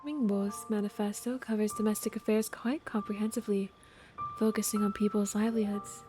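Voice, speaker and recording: A woman livestreaming a game, chatting casually and reading text aloud in a calm, laid-back manner. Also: mid-range voice, brisk, music in the background. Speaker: streamer.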